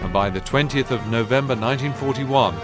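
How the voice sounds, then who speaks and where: A man's voice: mid-range voice, fairly quick, music in the background, lightly acted. War game narrator man: formal documentary read, grave tone, medium register, prominent musical backing. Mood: solemn. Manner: solemn, formal. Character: solemn male narrator of a wartime chronicle. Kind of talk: scripted documentary-style narration.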